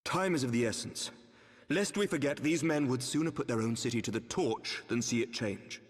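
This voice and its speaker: A man acting a resolute knight lord commander in a fantasy game cutscene. His is formal and courteous, with a mid-pitched, commanding delivery that rings through a long hall reverb. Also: moderate pace, lightly acted. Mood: grave, controlled.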